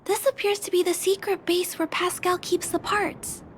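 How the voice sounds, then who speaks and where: A woman voicing a gentle, curious young girl in a video game, polite and musing thoughtfully aloud. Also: very high voice, little pitch movement, normal speed, a little hiss or hum. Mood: curious, pondering. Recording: game music behind the voice.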